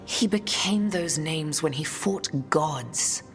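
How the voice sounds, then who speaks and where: An actress playing a grave, weary noblewoman leader in a fantasy video game, speaking in a measured, solemn way. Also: sits low. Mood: grave, reflective. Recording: long reverb, music behind.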